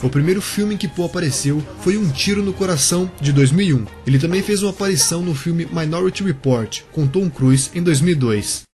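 A man narrates a scripted biography for his channel. His voice sits a little high, and he talks casually and straight to the viewers. Soft music plays under him. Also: fairly quick, few pauses. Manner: casual, addresses viewers directly. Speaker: YouTube channel host.

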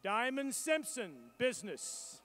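A man reading out graduates' names and their programs at a commencement ceremony, in a measured and formal roll-call delivery. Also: very high voice, very animated, fairly bright tone. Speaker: commencement name reader.

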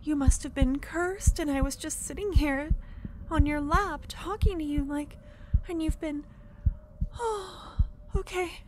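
Woman acting a soft, slow-spoken shy witch. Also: high voice, background noise. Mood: startled, anxious. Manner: soft, breathy, hesitant, often dropping to a whisper. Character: shy, kind-hearted, lonely young witch, rambling and smitten. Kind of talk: ASMR fantasy romance roleplay. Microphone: close ASMR microphone.

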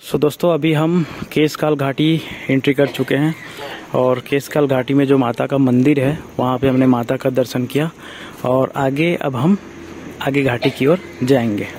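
Man of ordinary pitch hosting a casual travel vlog, relaxed with lots of ums, phone audio with noise behind. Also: normal speed. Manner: easygoing, with frequent hesitation sounds. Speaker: travel vlogger. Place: hotel room and roadside at night. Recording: phone recording with background noise.

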